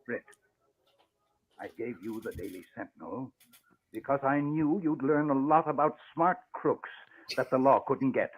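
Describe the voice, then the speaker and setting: A man's voice: medium pitch, a little slow, natural voice. An actor playing an emotional, proud old father in 1940s radio style, with impassioned, dramatic delivery. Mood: stern, impassioned. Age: older. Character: emotional proud old father, retired crime-fighting newspaper owner. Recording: muffled, narrow-band old radio recording.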